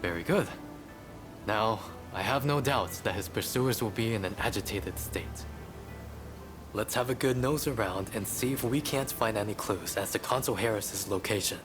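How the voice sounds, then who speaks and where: An actor playing an earnest, cautious samurai scholar in a video game, speaking formally and articulately. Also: male voice, lowish voice, normal speed, close to an everyday voice. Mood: brisk. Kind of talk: video game cutscene acting. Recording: background music under the voice.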